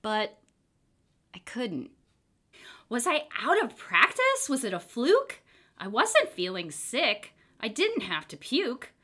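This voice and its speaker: A woman reads a children's story aloud. Her voice is bright and forceful, with wide, lively swings in pitch. The delivery is expressive and theatrical. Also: slow pace. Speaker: storybook reader. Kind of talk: dramatic children's book read-aloud.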